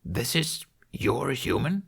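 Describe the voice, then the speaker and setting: A proud and fierce female dragon from a fantasy audiobook, played by a man. The voice is lifted in pitch, with a dramatic, imperious manner that bristles with aggression. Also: big pitch swings, takes it slowly, creaky, fairly bright tone, slightly rough, heavily acted. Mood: wary curiosity. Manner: screechy, dramatic, haughty delivery. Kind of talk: audiobook character voice.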